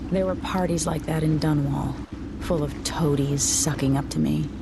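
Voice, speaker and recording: An actress playing a composed, reflective and resolute deposed empress in a video game cutscene. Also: female voice, low-pitched, fairly even intonation, moderate pace, gravelly, heavy background noise.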